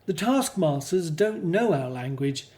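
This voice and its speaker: A man's voice: on the high side, sing-song, measured pace, a little mellow. A man playing a hopeful Hebrew slave and family man in a scripted radio drama, telling things earnestly. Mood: hopeful, reassuring.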